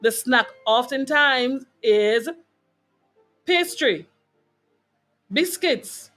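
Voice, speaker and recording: A woman's voice: sits high, expressive, extremely slow, a bit bright, faint music behind. A woman with a Jamaican accent hosting a wellness broadcast, talking informally and emphatically to her online audience and checking in with short tag questions. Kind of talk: informal motivational health talk to a live online audience.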